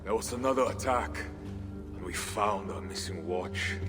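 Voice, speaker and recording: An actor playing a proud, vengeful Viking chieftain in a video game cutscene, speaking in commanding, angry outbursts. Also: male voice, low-pitched, normal speed, music in the background, close to an everyday voice. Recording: game audio in a reverberant space.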